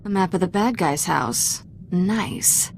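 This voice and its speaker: Tough sidekick, wry, sarcastic, lowish voice, video game, clear background noise. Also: female voice, slow. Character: sardonic, tough female sidekick with dry wit.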